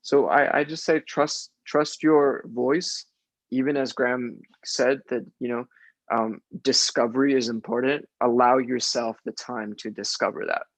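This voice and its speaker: A man's voice: medium pitch, steady tone, unhurried, dry recording, rough recording. Man, native Spanish speaker, dry close sound. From Mexican immigrant family. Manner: often ends points with "right?". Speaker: theater professional, guest panelist. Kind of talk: reflective advice in a panel conversation.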